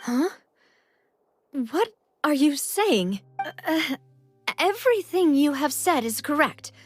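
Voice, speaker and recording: A woman's voice: high voice, sing-song, slow, soft background music, exaggerated voice. An actress playing an earnest, polite young lady leader who is easily puzzled, in a video game. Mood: bewildered. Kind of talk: English video game voice acting.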